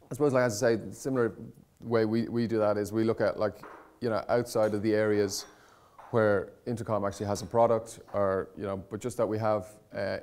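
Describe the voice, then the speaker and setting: A man's voice: fairly low pitch, a little mellow. A man giving an informal conference presentation in a conversational, rambling way, often correcting himself and speaking in blunt, colloquial terms. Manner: conversational, hesitant, rambling with frequent self-corrections. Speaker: conference speaker on startup content marketing.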